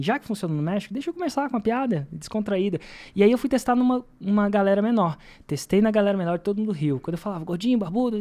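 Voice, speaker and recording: A man's voice: high voice, a little mellow. Brazilian man chatting on a podcast. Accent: Brazilian Portuguese. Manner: informal, self-deprecating, repeats phrases for emphasis. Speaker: podcast host and marketing teacher. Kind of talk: casual podcast talk with anecdotes.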